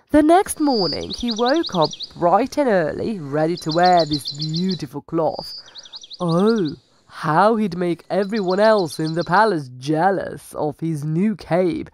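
A woman reading a children's story aloud as a storyteller, with dramatic, deliberate emphasis. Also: on the low side, a little mellow, slight background noise.

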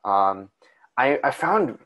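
A young man co-hosting a casual podcast, talking at length in a calm, soothing voice, often restarting his sentences. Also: medium pitch, slow pace, highs cut off. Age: young adult. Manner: rambling, with many restarts and fillers. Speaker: podcast co-host. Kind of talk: casual chat.

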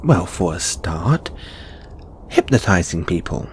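Man acting sulky male snake monster, lowish voice, swooping pitch, huffy, halting, defensive, intimate audio roleplay. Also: big pitch swings, a little hiss or hum, heavily acted. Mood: explaining, disapproving. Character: huffy, flustered male lamia flatmate, snake monster boy.

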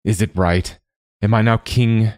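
A man's voice: a bass voice, lively intonation, normal speed, dark tone, no echo, clean studio sound. A man voicing an eager, ambitious young prince turned king in a storybook, with a declamatory, commanding manner. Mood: eager, pleading.

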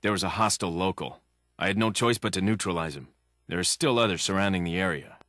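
A young American government agent with a very low voice. He speaks in a cool, understated way with a dry, wry edge, in the manner of a video game cutscene. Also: fast, a bit bright. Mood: terse.

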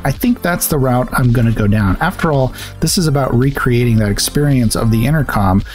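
A man's voice: mid-range voice, a little mellow. A man hosting a hobby tutorial, explaining things step by step in a plain-spoken way. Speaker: host of an electronics hobby channel.

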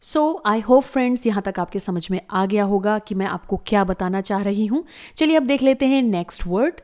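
A woman teacher, patiently explaining word meanings and repeating words slowly for learners in a voice-over lesson. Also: medium pitch, lively intonation, a little mellow. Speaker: English teacher. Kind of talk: explaining lesson to camera viewers. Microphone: voice-over on slides.

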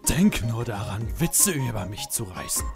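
A man's voice: medium pitch, big pitch swings, moderate pace, vocal fry, over music. A man voicing a grumpy, brooding male hedgehog in a cartoon fandub, sullen and threatening. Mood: menacing, irritated. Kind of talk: German fandub cartoon acting.

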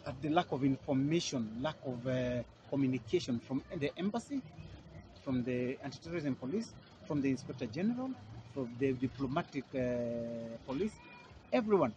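A man speaking to reporters at an airport, his voice set a little high for a man and pressing each point with hammering repetition. He sounds indignant and emphatic. The outdoor recording carries a clear wash of background noise. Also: darkish tone, dull audio. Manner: indignant, emphatic, repeats phrases for force. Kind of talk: press interview. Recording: noisy outdoor field recording.

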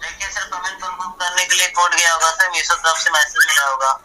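A man's voice: sits high, fairly even intonation, quick pace, sharp tone. A man talking persuasively as a caller on a recorded telephone call that is played back, with music behind. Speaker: phone scam caller posing as delivery agent. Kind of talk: phone call, fast persuasive talk.

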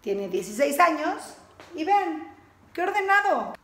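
A woman's voice: extremely high pitch, very animated, measured pace, fairly bright tone. A woman in her thirties giving a chatty, upbeat tour of her home, talking into a handheld camera as she walks from room to room. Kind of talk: casual house tour walk-through. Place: rooms of a house, indoors. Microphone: handheld camera, close, moving. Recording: echoey rooms, clear background noise.

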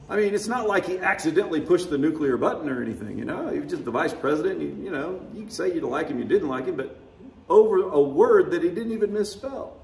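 A man's voice: fairly high pitch, creaky, a little mellow, roomy sound, recorded from a distance, a little hiss or hum. A man preaching a sermon, conversational and wry, often chuckling at his own remarks. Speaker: pastor. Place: church sanctuary.

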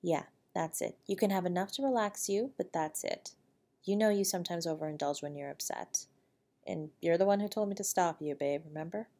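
A woman's voice: lowish voice, fairly quick, mellow. A woman playing a tender, protective wife in an intimate audio roleplay, soothing and affectionate as she comforts her husband. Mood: soothing, caring.

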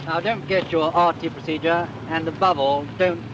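A man's voice: on the high side, steady tone, moderate pace, far from the mic, lo-fi sound, noisy background. A man as a flight instructor, giving stern warning reminders in 1940s training film dialogue.

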